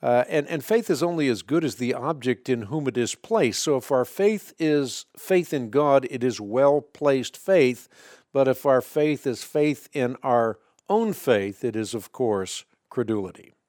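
A man whose first language is Dutch, hosting a call-in radio show and calmly explaining faith questions, often citing scripture. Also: medium pitch, no room sound, studio quality. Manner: measured, explanatory, quotes scripture. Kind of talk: Bible answer call-in show.

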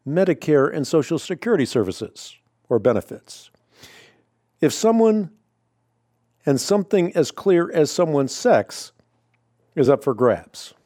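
Measured man hosting a radio show. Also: on the high side, mellow, dry recording, clean studio sound. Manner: measured, emphatic, stresses key words. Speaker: radio talk show host. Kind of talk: scripted monologue then interview.

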